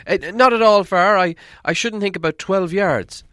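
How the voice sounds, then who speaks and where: An eager, anxious little hobbit played by a male storybook reader speaks in a raised, high voice. He stammers and hesitates, then exclaims urgently, in a close, dry recording. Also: moderate pace, bright, forceful tone, no echo. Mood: hesitant, observant.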